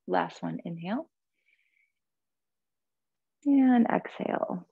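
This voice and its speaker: Low, creaky-voiced woman guiding yoga. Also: animated, no echo, narrow, tinny audio. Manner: soothing, gentle, unhurried instruction. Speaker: yoga and meditation teacher. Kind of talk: guided yoga class with breathing cues.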